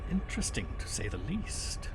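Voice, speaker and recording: An actor playing a dry, methodical police inspector in a period radio crime drama, deliberate and authoritative. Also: male voice, slight background noise, natural voice.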